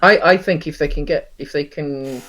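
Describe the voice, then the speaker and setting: A man's voice: fairly high pitch, normal speed, a bit bright, rough recording. A British man co-hosting a podcast, chatting casually and with light-hearted banter about games and films. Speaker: podcast co-host.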